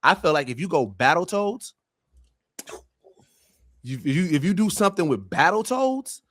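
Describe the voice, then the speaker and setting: A man's voice: fairly high pitch, lively intonation, normal speed, fairly bright tone. A man on a podcast panel chatting casually, eagerly explaining his ideas in slangy speech. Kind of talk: casual chat.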